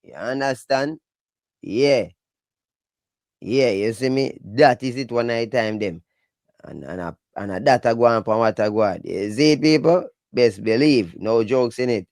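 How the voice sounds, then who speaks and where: A man with a Jamaican accent giving casual, emphatic commentary on dancehall news in lively patois. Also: mid-range voice, measured pace, dry recording, studio quality. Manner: emphatic, animated patois talk.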